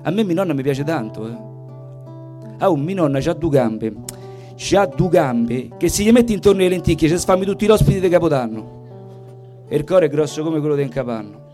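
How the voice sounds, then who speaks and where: A man's voice: on the high side, expressive, a little fast, dark tone, faint music behind. A man with a Roman Italian accent performing a colloquial, folksy comic monologue live, full of little asides. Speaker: stage performer, storyteller and singer.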